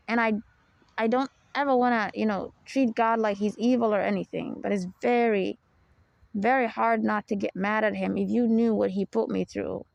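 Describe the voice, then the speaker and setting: A young woman with a deep, low voice and a gravelly edge talks through a personal podcast. She rambles and confides, and often drops in "you know" and small laughs. The sound is dry and close, with no room around her. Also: darkish tone, no echo. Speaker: podcast host.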